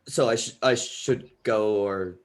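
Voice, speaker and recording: A man's voice: on the low side, takes it slowly. A man playing an awkward, grateful musician at an audition, speaking hesitantly.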